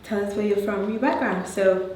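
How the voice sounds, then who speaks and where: A woman's voice: mid-range voice, moderate pace, a little mellow. A woman with a British accent, from Nigeria and raised in the UK, hosting a group discussion. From born in Nigeria, grew up in the UK. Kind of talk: panel chat, asking questions. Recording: echoey room, distant mic, faint noise.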